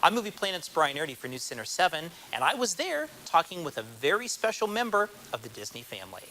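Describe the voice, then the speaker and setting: A man's voice: fairly high pitch, sing-song, fast, pushed and bright, slight background noise, poor audio. An American man presenting scripted film segments as a TV reporter, with a polished promotional delivery. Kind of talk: scripted broadcast narration. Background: music.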